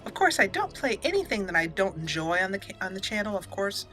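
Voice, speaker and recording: A woman's voice: medium pitch, big pitch swings, quick pace, pushed and bright, soft background music. A woman hosting a let's play, giving casual, playful commentary and chatting to the game's characters. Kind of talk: casual live gameplay commentary.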